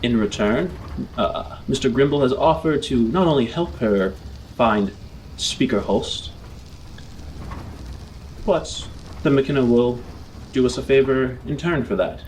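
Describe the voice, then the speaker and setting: A man narrating an improvised tabletop roleplay as game master, with measured, formal, descriptive phrasing. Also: medium pitch, animated, darkish tone, a little hiss or hum, poor audio.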